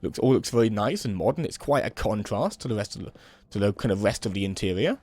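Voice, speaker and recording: A young man with a slightly hoarse voice from a cold, giving relaxed, rambling commentary while playing a building game. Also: lowish voice, quick pace. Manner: relaxed, rambling, many "um" and "kind of". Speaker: let's play host. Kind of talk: casual gameplay commentary.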